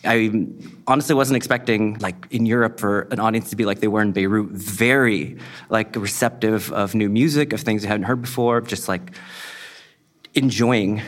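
Young guy musician, lowish voice, just chatting, lots of um and like. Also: moderate pace. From United States. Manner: relaxed, rambling with frequent fillers. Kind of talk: casual interview talk.

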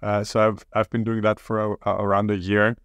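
Guest, male, slow to find words, low even delivery, dry close sound. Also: dry recording, highs cut off. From Austria. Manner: hesitant, halting, many pauses. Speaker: startup co-founder, podcast guest. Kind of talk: casual interview talk.

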